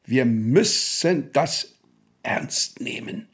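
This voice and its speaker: A senior Prussian official in a radio drama. He talks very slowly at a medium male pitch, weighing his formal words with evasive, weighty authority. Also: animated. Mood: grave, evasive. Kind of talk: German radio drama acting.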